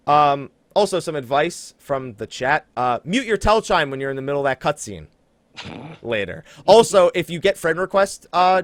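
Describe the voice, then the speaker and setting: A man with a slightly high, very expressive voice hosts a casual gaming podcast. He banters and teases in a lively, conversational style, recorded close and dry with no room sound. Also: dry recording. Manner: animated, teasing banter with co-host. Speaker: podcast host.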